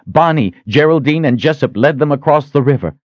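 Man acting an intense fantasy hero, raised pitch. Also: animated, brisk, no echo, dull audio, lightly acted. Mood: urgent, persuading. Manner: intense, insistent. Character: urgent, determined man, hero of a fantasy tale.

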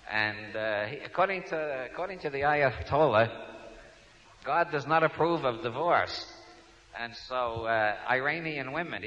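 New York man, sharp-toned, wry humorous speaker on a dull lo-fi tape, midrange pitch. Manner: wry, digressive, frequent restarts. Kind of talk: humorous talk to an audience. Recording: dull lo-fi recording.

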